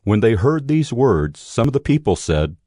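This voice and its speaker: A man reading scripted narration for a dramatized audio Bible in a measured, solemn way. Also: mid-range voice, very animated, mellow, no room sound, studio quality. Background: music.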